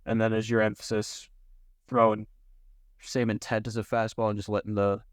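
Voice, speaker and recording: A male co-host speaks in a moderately low voice with a dry, roomless sound. His interview style is conversational, with questions that run long and loose. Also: level tone, moderate pace, smooth, no room sound.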